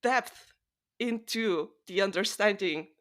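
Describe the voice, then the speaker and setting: A woman's voice: mid-range voice, slow. A woman co-hosting a podcast, talking through books in a casual, opinionated way. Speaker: podcast co-host. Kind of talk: casual book discussion.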